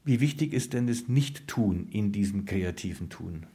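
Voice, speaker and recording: A man's voice: fairly low pitch, expressive, moderate pace, mellow, husky, dry recording. A man presenting and interviewing for radio in scripted narration and questions.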